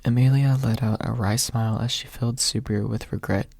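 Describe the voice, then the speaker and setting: A man reading an audiobook in a soft ASMR narration style. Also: mid-range voice, steady tone, a little fast, creaky, dry recording.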